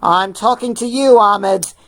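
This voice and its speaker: A woman's voice: medium pitch, a little slow, lightly acted. A woman voicing a bossy, no-nonsense man from a rock band in a story reading. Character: Tim, bossy no-nonsense male band member.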